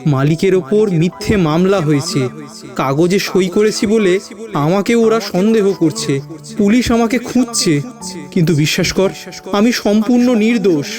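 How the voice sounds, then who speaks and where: A man's voice: sits high, unhurried. A man playing an anguished young village lover voicing his farewell letter in an audio story, earnest and pleading, with music behind.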